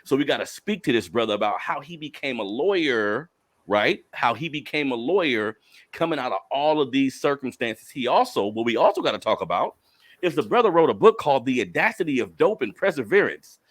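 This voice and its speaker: A man's voice: mid-range voice, very animated, fairly quick. Hyped young man hosting a podcast. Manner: hyped, calls listeners family, slangy. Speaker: podcast host. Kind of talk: energetic podcast intro and interview.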